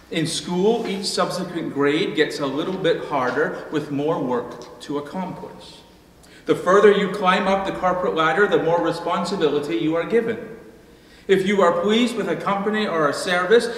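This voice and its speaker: A middle-aged man, a pastor, preaching a measured sermon from a pulpit in a church sanctuary, slowing down at the ends of his phrases. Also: fairly high pitch. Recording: echoey hall, faint room noise.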